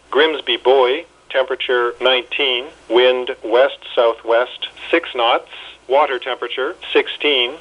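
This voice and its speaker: A man's synthetic voice reads an automated weather bulletin in measured, impersonal, clipped phrases. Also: mid-range voice. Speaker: weather radio announcer. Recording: muffled weather radio broadcast.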